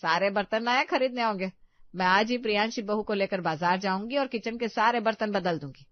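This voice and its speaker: A woman's voice: medium pitch, brisk, no room sound, muffled recording, natural voice. A woman voicing a bossy, middle-aged village mother-in-law who scolds and gives instructions. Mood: scolding.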